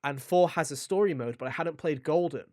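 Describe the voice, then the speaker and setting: Young male podcast host, opinionated and chatty, mid-pitched, recorded close and dry. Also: a little fast, a little mellow, no echo. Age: young adult. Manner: opinionated, blunt, swears; rambling, anecdotal storytelling. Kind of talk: casual gaming podcast chat.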